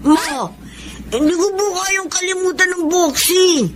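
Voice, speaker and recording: An actor playing an eager, respectful schoolboy who dreams of boxing in a Filipino radio drama, polite and stammering. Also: male voice, extremely high pitch, unhurried, noisy background. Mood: pleading, earnest.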